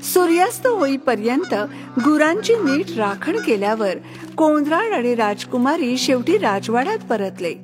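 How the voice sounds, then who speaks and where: A woman's voice: sits high, big pitch swings, a little slow, over music. A woman narrator reading a scripted fairy tale for children.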